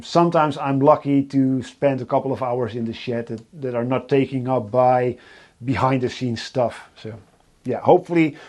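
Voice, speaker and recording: A Dutch man with a Dutch accent, hosting a casual live stream from his workshop and talking in a halting way with many fillers and restarts. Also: medium pitch, a little mellow. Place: home workshop.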